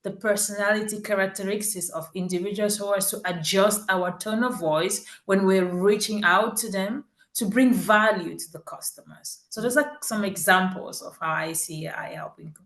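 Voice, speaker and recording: A woman's voice: medium pitch, normal speed, hardly any pauses, a bit bright, echoey room. A woman from Cameroon, a marketing executive answering interview questions earnestly, often rephrasing herself mid-sentence.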